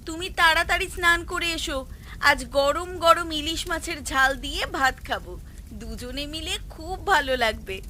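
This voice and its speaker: A woman's voice: high-pitched, normal speed, pushed and bright, slight background noise. A woman playing a gloating, spiteful village wife in a cartoon story. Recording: music playing behind the voice.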